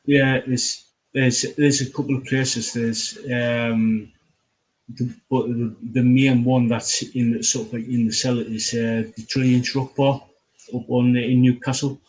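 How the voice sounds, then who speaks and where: A man, interviewing casually as host in a halting, repetitive way. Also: mid-range voice, flat delivery, darkish tone, rough recording. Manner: halting, repeats phrases, filler-heavy.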